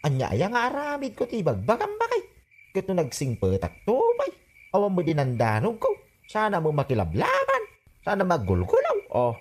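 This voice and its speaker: A man's voice: high-pitched, huge pitch swings, normal speed, exaggerated voice. A man with an Ilocano accent playing a cheeky, stubborn, wisecracking husband in a comedy radio drama. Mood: defensive, teasing. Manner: wisecracking, exclamatory, argumentative. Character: cheeky, stubborn husband and grown son, joking glutton. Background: faint music.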